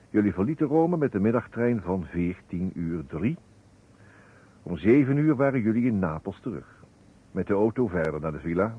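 A man playing a shrewd, courteous investigator in a Dutch radio drama, speaking formally and deliberately. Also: low-pitched, slightly rough, heavily acted. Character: shrewd, courteous male investigator, probing and methodical. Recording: tinny, noisy old radio recording.

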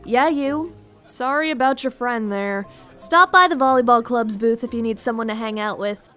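Animated series: tough, sassy upperclass girl, high and forceful, teasing and blunt. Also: normal speed, clear, resonant tone, soft background music, a faint crowd. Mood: brash, irritated. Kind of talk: animated roleplay voice acting.

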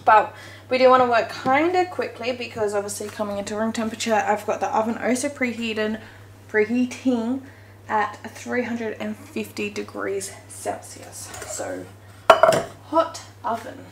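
A woman's voice: a little high, lively intonation, measured pace. Chatty woman baking in an echoey kitchen. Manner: chatty, self-correcting, talks while working with hands. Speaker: home cooking vlogger. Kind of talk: casual baking walkthrough. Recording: echoey kitchen with faint noise.

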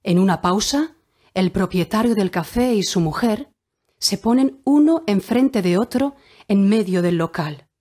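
A woman narrating a Spanish learners' audiobook in a clear, measured reading style. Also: medium pitch, a little mellow.

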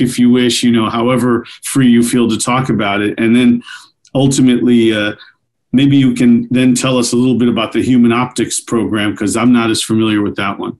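A man's voice: mid-range voice, a little mellow. A middle-aged man hosting an interview podcast from his doctor's office over a video call, friendly and methodical, with occasional fillers. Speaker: podcast host, retina surgeon.

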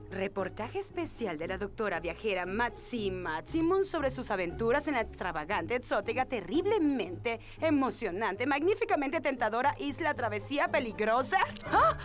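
Reportera teatral y presumida. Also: female voice, a little high, big pitch swings, moderate pace. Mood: excited, indignant. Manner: theatrical, pompous, gushing. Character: flamboyant, self-important celebrity reporter woman craving adventure. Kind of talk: animated cartoon dubbing. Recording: music behind the voice.

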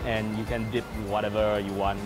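A man in his thirties with a Singaporean accent hosting a casual food show outdoors by the sea, relaxed and joking. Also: on the low side, moderate pace. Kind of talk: casual food vlog chat. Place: outdoors at a seaside restaurant. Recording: background music under the voice.

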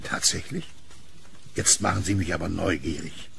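An actor playing a calm, polite kiosk keeper in an audio drama, guarded yet curious. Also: male voice, deep voice, moderate pace, vocal fry, noisy background. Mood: guarded curiosity. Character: reserved, curious blind male kiosk keeper with dog. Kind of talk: German horror audio drama acting.

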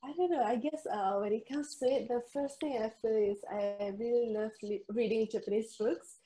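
A woman's voice: on the high side, fairly even intonation, normal speed. A young woman with an Indonesian accent, a novelist answering interview questions thoughtfully over a video call. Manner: soft, thoughtful, frequent ums and laughs. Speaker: novelist guest. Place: indoors room at home. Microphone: headphones on video call. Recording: echoey video call audio.

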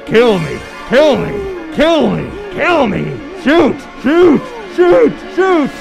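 A man's voice: extremely high pitch, very animated, drawn-out words, creaky, full character voice. A man playing a manic pulp hero, taunting theatrically like a madman in a radio serial parody. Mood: manic frenzy. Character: manic male pulp hero goading his would-be killer. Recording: music and clamour behind.

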